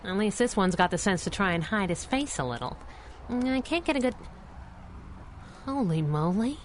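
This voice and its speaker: An actress playing a plucky, wisecracking young female sidekick in a 1930s-style radio serial, with sassy, excitable, slangy speech. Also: medium pitch, normal speed, a little hiss or hum, lightly acted. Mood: alert, excited.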